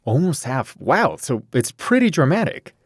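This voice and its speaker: A man's voice: medium pitch, very animated, normal speed, no room sound, studio quality. A man hosting a news podcast, moving between scripted narration and questions to a reporter.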